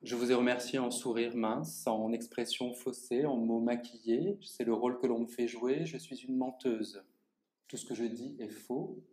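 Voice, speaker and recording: A man performs a public literary reading, turning his measured, theatrical lines straight at the listeners. His voice sits at an ordinary middle pitch. The sound bounces around a roomy gallery space. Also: steady tone, a little mellow. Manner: measured, theatrical delivery addressing the audience directly. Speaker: author reading his own text. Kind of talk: public literary reading, first-person monologue. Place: art gallery. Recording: echoey gallery room.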